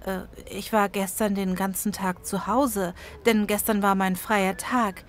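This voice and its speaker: Actress as keen, busy female interviewee, middle register, clear explanatory delivery in scripted learner dialogue. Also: mid-range voice, flat delivery, unhurried, natural voice. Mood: obliging, keen. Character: busy female passer-by, keen fan of detective series. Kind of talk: scripted language-lesson dialogue.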